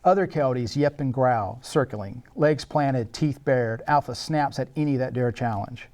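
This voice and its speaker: A man's voice: medium pitch, a little slow, a little mellow. A man narrating screenplay action and scene headings at a table read, in a scripted, dramatic style.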